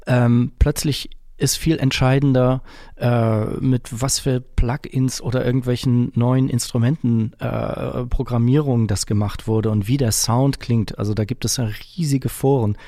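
Mellow middle-aged man, thoughtful interview guest. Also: medium pitch, steady tone, a little slow, no room sound, studio quality. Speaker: interview guest, musician and composer. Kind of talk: reflective conversational interview.

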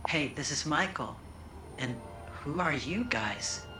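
A man playing a gentle, friendly male American pop superstar in an audio drama. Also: a little high, lively intonation, slow pace, echoey room, music in the background. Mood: friendly, amused. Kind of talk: audio drama impression, speaking English.

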